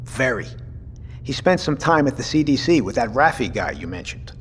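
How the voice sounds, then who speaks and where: Man as measured captain, wide-ranging voice, reverberant. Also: medium pitch, big pitch swings, natural voice. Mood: matter-of-fact. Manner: measured, thoughtful. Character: measured, courteous male captain and father leading a settlement. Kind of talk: audio drama acting. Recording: reverberant room with faint noise.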